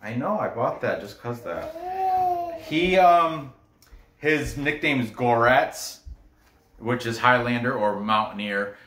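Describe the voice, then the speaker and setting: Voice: mid-range voice, big pitch swings, slow, fairly bright tone. A person from New York, born in Korea, giving casual, rambling fight betting commentary and often interrupting themselves. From born in Korea, grew up in New York. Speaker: host giving betting picks. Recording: echoey room with noise.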